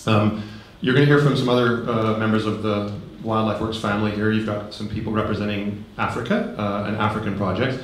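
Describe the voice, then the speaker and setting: Man, conference speaker, casual and humorous, handheld mic, booming hall echo, faint background hum. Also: steady tone, fairly quick, few pauses. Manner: conversational with frequent ums and light humor. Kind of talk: relaxed conference talk. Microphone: handheld microphone. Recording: very echoey hall.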